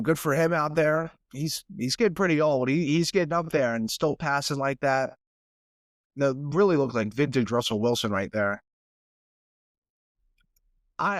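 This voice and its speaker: Young man, stuffy nose, casual rambling sports talk, mid pitch, dry close sound. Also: no room sound. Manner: casual, rambling, repeats words when restarting. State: stuffy from sinuses. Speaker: podcast host. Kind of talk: solo sports podcast talk.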